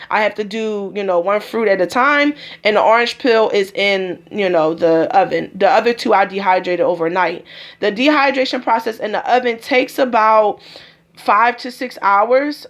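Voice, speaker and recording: A woman walks viewers through a juicing routine in her home kitchen. Her voice sits at a middle pitch and wanders, full of ums and restarts. She moves closer to and farther from the camera as she works. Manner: rambling, many ums and restarts. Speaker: vlogger. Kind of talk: casual kitchen demonstration.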